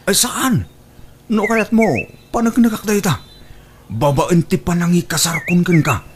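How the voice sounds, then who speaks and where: An actor with an Ilocano accent playing a smitten, eager young bachelor wooing in an exaggerated comic radio-drama style. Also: male voice, high voice, unhurried, noisy background. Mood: pleading.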